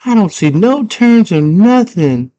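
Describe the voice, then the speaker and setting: Headstrong girlish adventurer, played by a man, dramatic and pleading, slangy, raised high. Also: big pitch swings, unhurried, dark tone, lo-fi sound. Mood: frustrated. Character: headstrong young female heroine lost in a labyrinth.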